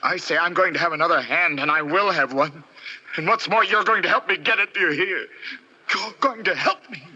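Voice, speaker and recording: An actor playing an obsessive, anguished concert pianist in 1940s radio horror, with a melodramatic, halting, intense delivery. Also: male voice, high voice, highs cut off, lightly acted. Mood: obsessive, demanding. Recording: noisy old radio drama recording.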